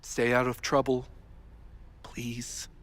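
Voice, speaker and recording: An actor playing a harried young student society president, wry, theatrical and exasperated, in a video game. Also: male voice, mid-range voice, completely flat delivery, slow pace, fairly bright tone. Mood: weary, warning. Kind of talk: naturalistic video game acting. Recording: music behind the voice.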